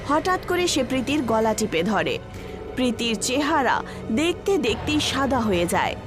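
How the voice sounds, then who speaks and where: A woman narrator reading a scripted horror story. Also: a little high.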